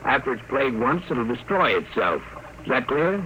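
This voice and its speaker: An actor playing a cold, bullying kidnapper, curt and commanding, in old-time radio drama style. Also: male voice, medium pitch, sing-song, moderate pace, bright, forceful tone, muffled recording. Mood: curt, threatening. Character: cold, bullying masked gangster kidnapper, menacing and smug. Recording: slightly noisy old radio broadcast.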